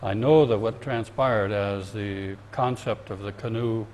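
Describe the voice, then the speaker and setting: A man hosting an interview, speaking in a relaxed, affirming, conversational way. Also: lowish voice, a little slow, a little hiss or hum.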